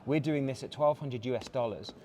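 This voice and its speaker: A man's voice: mid-range voice, brisk, dark tone, slight background noise. A man with an English accent, a brand director giving an enthusiastic, polished product walkthrough with filler words. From England. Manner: enthusiastic, polished salesman patter with frequent "uh" fillers. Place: busy watch showroom event.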